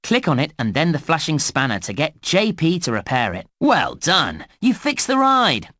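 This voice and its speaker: A man's voice: fairly high pitch, wildly swooping pitch, moderate pace, a bit bright, no echo, studio quality, heavily acted. A man voicing a cheerful, encouraging park guide in a children's game, with exaggerated, enthusiastic, instructional delivery. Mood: playful concern. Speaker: voice actor narrating game instructions. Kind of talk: scripted children's game narration.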